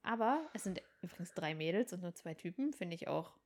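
A young woman from Germany co-hosting a casual podcast, chatty and quick to laugh, often acting out little quotes. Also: on the low side, lively intonation. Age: young adult. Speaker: podcast co-host. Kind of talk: casual chat.

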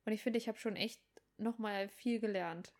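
A young woman from Germany chats easily on a podcast, laughing often and acting out quotes as she goes. Her voice sits at a middle pitch for a woman, with an everyday, relaxed delivery. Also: moderate pace. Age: young adult. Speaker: podcast co-host. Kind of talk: casual chat.

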